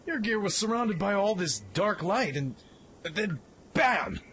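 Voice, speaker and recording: A man acting a brash, excitable young mech pilot in a fan radio play. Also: high voice, unhurried, heavily acted. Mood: astonished. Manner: brash, cocky, exclamatory. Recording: clearly noisy background ambience.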